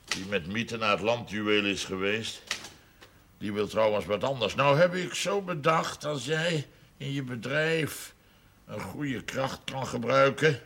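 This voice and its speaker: A man's voice: lowish voice, fairly bright tone, full character voice. A man playing a proud, hot-headed farmer with a folksy, roundabout, emphatic way of talking in a period television drama. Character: proud, hot-headed farmer with seven sons, scheming neighbour. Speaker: actor in a television drama series. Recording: noisy old television soundtrack.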